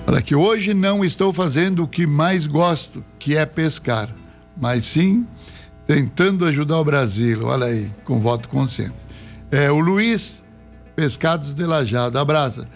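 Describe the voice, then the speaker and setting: A man's voice: fairly high pitch, measured pace, soft background music. A man with a Rio Grande do Sul accent hosting a casual radio show, reading listener messages in a jokey, chatty way and laughing easily. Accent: Rio Grande do Sul Portuguese. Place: radio studio.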